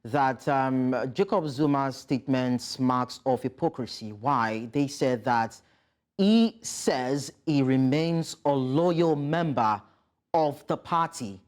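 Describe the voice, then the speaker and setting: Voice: mid-range voice, takes it slowly, a little mellow. A news anchor presenting reports and putting formal questions to a guest in a live interview.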